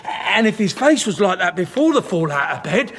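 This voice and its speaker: A man playing a puzzled, excitable asylum attendant in a radio drama, voice raised in a reverberant space. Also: high voice, moderate pace, background noise. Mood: agitated, baffled.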